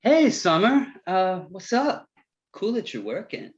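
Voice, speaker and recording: A man's voice: high voice, big pitch swings, a little slow, highs cut off. An actor at a table read playing a breezy, chummy male movie director, slangy and eager to seem cool. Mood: showing off, excited.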